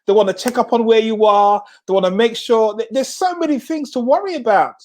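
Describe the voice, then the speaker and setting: A middle-aged man who grew up in Jamaica hosts an easygoing chat show. His voice sits high for a man, and he trips over his first words before landing on emphatic, repeated catchphrases. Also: a little fast. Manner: stammering repeats, laughs often, emphatic slogans. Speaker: host. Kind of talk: casual chat.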